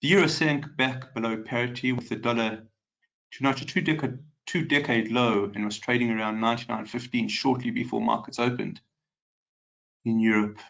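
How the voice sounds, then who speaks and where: A man's voice: mid-range voice, fairly even intonation, moderate pace, gravelly, a bit bright. Male webinar host, nasal and a bit congested, casual with lots of fillers; dull, lo-fi audio. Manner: chatty, greets viewers by name, frequent ums. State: congested from a cold. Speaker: market specialist hosting a webinar. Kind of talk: live webinar market commentary.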